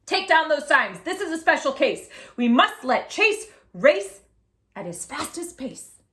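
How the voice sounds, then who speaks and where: Woman playing a commanding race official car, storybook, loud and high, bright, echoey room. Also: big pitch swings, measured pace, full character voice. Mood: urgent. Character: official race committee car, bossy and urgent.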